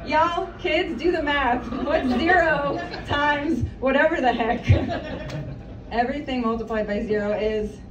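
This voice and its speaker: A woman's voice: sits high, a little slow. A woman in her thirties doing a stand-up comedy set, chatty and self-deprecating with rambling asides, on a small café stage. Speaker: stand-up comic. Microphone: mic on stand, filmed from across the room. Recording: roomy, distant, noisy café recording.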